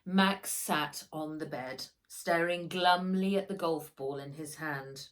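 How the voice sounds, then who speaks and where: A woman narrating a children's storybook expressively, with deliberate, dramatic emphasis, as the author reading aloud. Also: deep voice.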